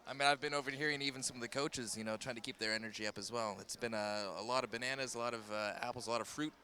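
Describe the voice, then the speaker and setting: A man's voice: medium pitch, fairly even intonation, rapid-fire, pushed and bright. A man doing color commentary at a school basketball game, rambling and joking at his own expense, with lots of fillers.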